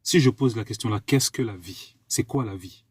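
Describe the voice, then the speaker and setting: Guy from france preaching online, husky voice, dry close sound, keeps asking the same question over and over. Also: on the low side, normal speed, no echo. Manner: exhorting, repeats rhetorical questions. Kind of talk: live online sermon.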